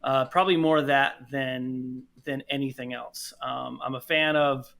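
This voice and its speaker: Interview guest: man, bright medium-pitched voice, enthusiastic, casual conversational delivery. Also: steady tone, unhurried, creaky. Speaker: podcast guest, audio drama producer.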